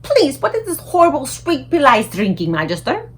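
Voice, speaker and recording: A woman voicing an excitable, childlike storybook giant who exclaims in muddled grammar and invented words. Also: high-pitched, normal speed, heavy background noise, pitched above the performer's own voice. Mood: disgusted, insistent.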